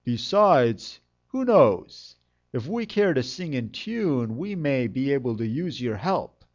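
A man voicing a friendly, encouraging donkey with a hearty, expressive manner in a fairytale. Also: medium pitch, slow, highs cut off. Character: friendly, encouraging male donkey, leader of animals.

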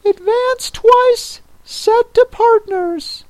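A woman voicing an excitable yet melancholy male turtle creature from a storybook, in a squealing singsong delivery. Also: extremely high pitch, very slow, no room sound, voice pushed up, heavily acted. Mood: excited, eager.

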